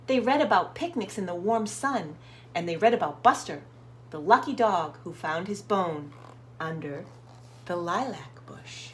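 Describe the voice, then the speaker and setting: A woman's voice: fairly low pitch, slight background noise. A woman hosting children's storytime, reading expressively to young listeners and addressing them directly. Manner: slow, expressive, sounds out words and asks listeners questions. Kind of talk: picture book read-aloud for young children.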